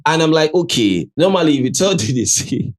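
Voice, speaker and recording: A man's voice: fairly high pitch, lively intonation, normal speed. A Nigerian man hosting a casual commentary show, chatty, ending nearly every phrase with "right?" and slipping into Pidgin and Yoruba. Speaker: host.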